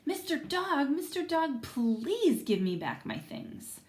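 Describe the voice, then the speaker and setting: A sweet, plucky little storybook girl voiced by a woman reader, pitched high and full of swoops. She sounds earnest. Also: big pitch swings, takes it slowly, echoey room, heavily acted. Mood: pleading.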